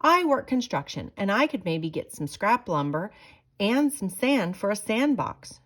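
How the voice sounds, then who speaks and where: A woman's voice: mid-range voice, sing-song, unhurried. Woman reading as a helpful, upbeat neighbor. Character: helpful adult neighbors offering what they can.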